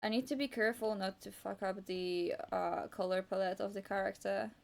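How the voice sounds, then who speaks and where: A woman's voice: mid-range voice, fairly even intonation, normal speed, vocal fry, darkish tone. A young woman whose first language is Polish, chatting casually on an art livestream while she draws, wandering and hesitant with lots of restarts and fillers. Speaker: art streamer.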